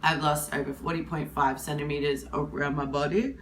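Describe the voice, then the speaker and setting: A woman in her twenties with a husky voice from hay fever, talking candidly to camera in a rambling, casual way. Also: sits low, flat delivery, a little fast, a bit bright, a little hiss or hum. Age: 20s. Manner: candid, rambling, with many fillers. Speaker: vlogger.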